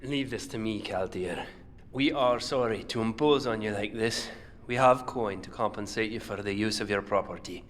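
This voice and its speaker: An actor playing a stern, principled army veteran leading travelers in a fantasy audio drama, speaking formally and with measured authority. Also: male voice, fairly low pitch, a bit bright, natural voice.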